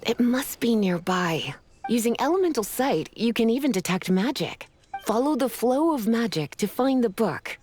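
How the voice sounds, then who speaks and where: A woman's voice: a little high, animated, normal speed, a little mellow, natural voice. An actress voicing a composed, confident woman mage in a video game, with a languid, playful, articulate delivery. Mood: calm, instructive. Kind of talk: scripted video game voice acting. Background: background music.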